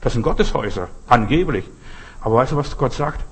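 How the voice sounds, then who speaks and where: Husky-voiced male preacher, exhorting. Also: mid-range voice, animated, a little fast. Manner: exhorting, repetitive, folksy, often addressing the listener directly. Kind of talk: sermon, spoken freely with short imperatives and anecdotes.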